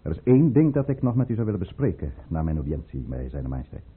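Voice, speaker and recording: A man playing a proud, calculating governor at court in a period radio play, formal and theatrical, with a husky voice. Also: deep voice, big pitch swings, a little hiss or hum. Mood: deferential, measured. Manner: formal, measured, theatrically indignant or deferential. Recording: muffled, tinny old radio recording.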